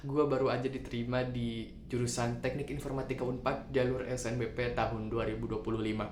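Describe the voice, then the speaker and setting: A young man in his late teens giving an informal student testimonial, pausing to search for his words. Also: mid-range voice. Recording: roomy echo, faint background noise.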